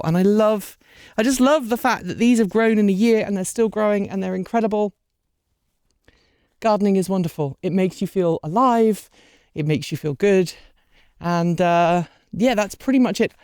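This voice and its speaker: A woman's voice: on the low side, a little mellow, dry recording, studio quality. A woman hosting an unscripted garden vlog outdoors, chatting casually with lots of ums while recovering from a cold and a bit sniffly. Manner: chatty, rambling, with many ums. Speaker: vlog host. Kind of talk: scripted outro thanking supporters.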